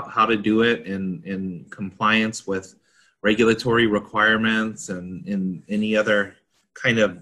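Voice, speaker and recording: Bright-voiced man with low pitch. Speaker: consultant panelist. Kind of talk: explanatory discussion.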